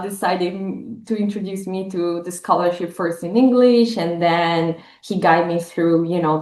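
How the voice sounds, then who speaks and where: A woman's voice: on the low side, darkish tone, echoey room. A woman in her thirties from northern Italy with an Italian accent, speaking as a guest scholar in an academic interview, thoughtful with many fillers.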